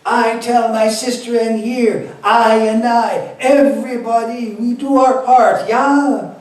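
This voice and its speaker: A man voicing a loud, preachy middle-aged Rastafarian man with a Jamaican accent, who talks emphatically and ends sentences as questions. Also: extremely high pitch, fairly even intonation, slow pace, pitched above the performer's own voice. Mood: indignant. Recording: echoey room, distant mic.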